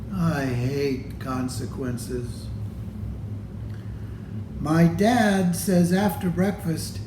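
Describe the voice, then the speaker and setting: A man reading a children's storybook aloud in a deliberate, expressive way, addressing young listeners. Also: fairly high pitch. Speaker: storybook reader. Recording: echoey room with clear background noise.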